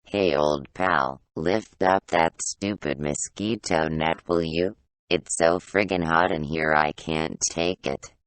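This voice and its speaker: Very deep, cranky ancient baby creature. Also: male voice, fairly even intonation, slow pace, fairly bright tone, no room sound, full character voice, rough recording. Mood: thirsty, irritated. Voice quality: gravelly, rusty voice. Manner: grumbling, folksy, wheedling complaints. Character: cranky, whiskey-craving ancient old man in a baby's body. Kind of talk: audio play character acting.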